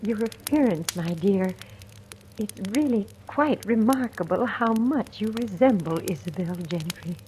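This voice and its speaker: An actress playing a kindly, knowing older cook in a 1940s style radio drama, speaking in a gentle, grave, measured way. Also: female voice, medium pitch, expressive, lightly acted. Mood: intrigued. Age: middle-aged or older. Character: kindly older woman cook, former schoolteacher, quietly knowing. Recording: noisy vintage radio recording with clicks.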